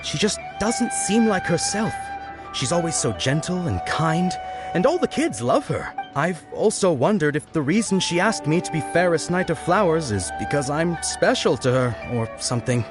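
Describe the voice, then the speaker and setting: A man's voice: a little high, animated, moderate pace, lightly acted. An actor playing a shy, earnest young male knight in a video game, talking a little flustered about the woman he admires. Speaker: game character. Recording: game audio with background music.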